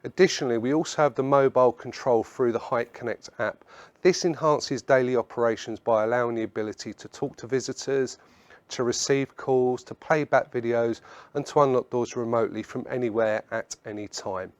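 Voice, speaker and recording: A man presenting a product webinar in a measured, instructional way, explaining slides point by point. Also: medium pitch, dry recording.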